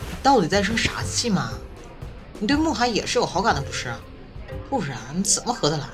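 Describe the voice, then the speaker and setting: A woman plays a boastful, cheeky student roommate in a radio play, chattering and teasing with exaggerated flair. The pitch jumps about wildly, and music plays clearly behind. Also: very animated, fairly quick, natural voice. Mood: coaxing. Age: young adult. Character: cheeky, boastful, meddling college roommate, playful and theatrical. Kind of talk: campus audio drama acting.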